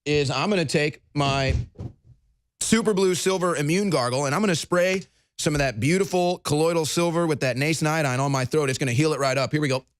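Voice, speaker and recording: A man with a raspy voice hosting a talk show, pushing questions and reading ads in a pushy salesman style. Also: dry recording. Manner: pushy, rapid salesman-like pitches. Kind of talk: talk radio hosting with ad reads.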